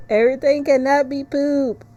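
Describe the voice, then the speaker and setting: A woman's voice: high voice, steady tone, takes it slowly, mellow, dull audio. A woman streaming a visual novel, chatting casually and reading the story text aloud.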